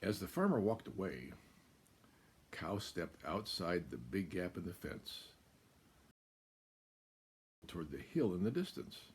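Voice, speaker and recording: An older American man reading a children's picture book aloud, deliberate and expressive, with pauses. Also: low voice, slight background noise. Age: older, about 70s. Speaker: guest storyteller. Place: small room at home, indoors.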